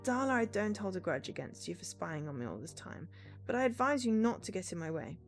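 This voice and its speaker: A woman's voice: mid-range voice, big pitch swings, fairly quick, soft background music. A woman voicing a defiant, guarded young female captive in a cyberpunk game, blunt and suspicious.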